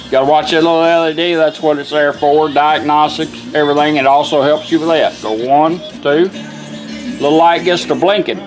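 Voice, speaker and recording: A man's voice: a little high, steady tone, normal speed, low-quality recording. A middle-aged man with a folksy, plainspoken manner giving an informal hands-on product demonstration. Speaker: vintage radio restorer demonstrating a product. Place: indoor workshop bench. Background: music from the radio.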